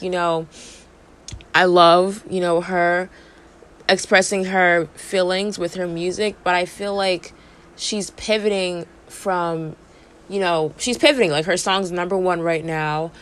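A woman's voice: lowish voice, measured pace, fairly bright tone. A young woman hosting a podcast, chatting casually through entertainment gossip while she is losing her voice, with lots of "like" and "you know". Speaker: podcast host.

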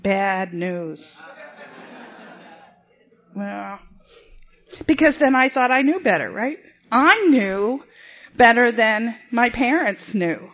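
Woman, convention speaker, high voice, restarts often, muffled hall recording. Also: lively intonation. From South Boston, Massachusetts. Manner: self-deprecating, halting, frequent restarts. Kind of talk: personal recovery story at a podium.

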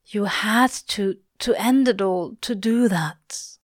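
A gruff but tender male demon from a storybook, acted by a woman narrator. He mutters slowly in a hushed, breathy murmur, with a cynical edge, close and dry. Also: lowish voice, no echo. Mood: soothing, baffled. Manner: slow, muttering.

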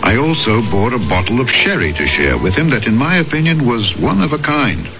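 An actor playing a worldly, discreet French magistrate in a 1970s radio drama, with a measured, courtly, theatrical delivery. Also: male voice, mid-range voice, sing-song. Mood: reflective narration. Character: worldly French island magistrate, urbane, discreet, wryly self-aware. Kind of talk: 1970s radio drama acting and first-person narration. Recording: muffled old radio broadcast, music behind.

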